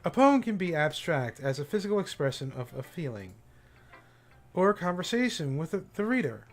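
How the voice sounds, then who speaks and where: Higher-pitched man streaming a game over music. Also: very animated, moderate pace. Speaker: livestreamer playing a visual novel. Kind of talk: casual playthrough commentary, reading game text aloud.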